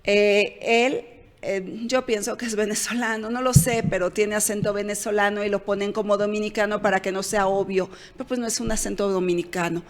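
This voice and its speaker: A woman's voice: mid-range voice, little pitch movement, a little fast. A woman co-hosting a political talk show, giving opinions with fillers and self-corrections. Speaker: show co-host. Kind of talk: political commentary chat. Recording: long reverb.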